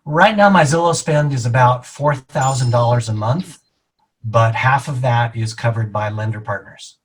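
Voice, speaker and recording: Middle aged guy getting interviewed, explains stuff carefully and deliberately, regular mid pitch voice. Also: breathy edge. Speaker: real estate agent being interviewed.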